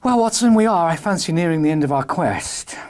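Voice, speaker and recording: A man playing a shrewd, confident gentleman detective in a dramatised story, husky, courteous and briskly commanding. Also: a little high, lively intonation, lightly acted. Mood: satisfied, musing. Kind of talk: dramatised audiobook acting.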